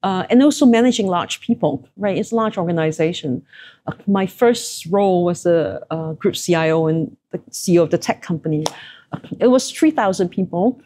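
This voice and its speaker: A woman's voice: fairly low pitch, animated, moderate pace, dark tone. A middle-aged woman from Southeast Asia, a former executive, answering interview questions in a reflective, conversational way with frequent fillers.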